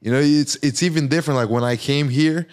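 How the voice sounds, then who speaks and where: Smooth-voiced man, easygoing. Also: a little high, fast talker, a little mellow. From Brazil. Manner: loose and rambling, heavy use of "like". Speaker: guest fighter. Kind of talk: casual podcast chat.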